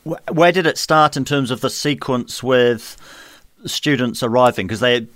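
A man's voice: mid-range voice, measured pace, fairly bright tone, no echo. Kiwi guy hosting a chat podcast from home, dry close sound, easygoing. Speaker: podcast host. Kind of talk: casual interview chat.

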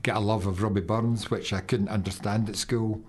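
A man's voice: on the low side, steady tone, fairly quick, husky. An older man from Glasgow with a Glaswegian Scottish accent, chatting casually and reminiscing on a radio show. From Glasgow, Scotland. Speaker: radio show guest and presenter.

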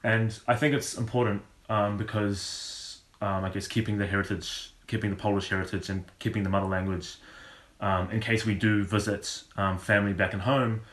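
A young man with a very deep, flat voice. He talks haltingly as a radio guest, full of pauses, and the sound is roomy. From New Zealand. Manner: halting, many "um"s and restarts. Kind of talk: casual chat.

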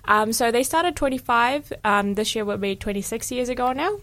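A woman's voice: fairly high pitch, normal speed, fairly bright tone. Young woman hosting community radio. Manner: friendly, many ums and restarts. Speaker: radio host. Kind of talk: casual community radio chat. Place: radio studio.

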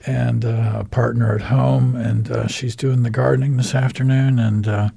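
A man in his fifties with an American accent, a guest on a radio show who tells his story in a rambling, halting way, full of ums and uhs, with wry humor. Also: medium pitch, flat delivery, creaky, warm tone, slightly rough, no room sound. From United States. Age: 50s. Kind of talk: candid storytelling interview.

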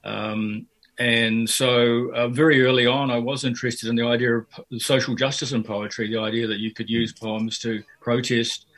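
An older man from Fiji and New Zealand talks through a radio interview about his life as a poet. His delivery stays flat and even, with little rise and fall. He hesitates often, full of fillers and false starts. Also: medium pitch, normal speed. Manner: hesitant, many fillers and restarts.

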